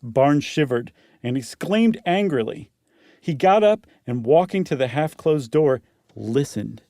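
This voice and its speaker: A man's voice: fairly high pitch, lively intonation, unhurried, mellow, no echo, studio quality. A man, a podcast co-host, narrating a story dramatically with casual asides.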